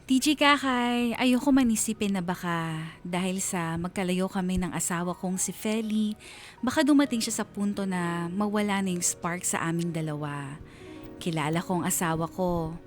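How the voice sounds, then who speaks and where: An anxious, wounded husband as a radio performer reads him tells his story in a dramatic letter narration. Soft music plays underneath this Filipino radio piece. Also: sits high, normal speed, lightly acted. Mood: worried, heavy-hearted. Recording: music bed behind voice.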